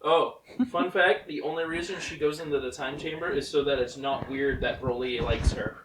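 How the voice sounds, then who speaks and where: A man's voice: fairly high pitch, fairly even intonation, normal speed, fairly bright tone, reverberant, far from the mic, slight background noise, poor audio. A man chatting casually as a co-author, interjecting with explanations and questions about his own story. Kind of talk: casual chat.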